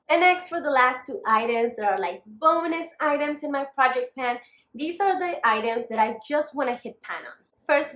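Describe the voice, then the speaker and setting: A woman's voice: a little high, fairly bright tone. A young woman with a northern Mexican accent, chatting candidly in a casual makeup vlog with apologetic asides. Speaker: beauty vlogger. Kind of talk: casual makeup update talking to camera. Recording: echoey room.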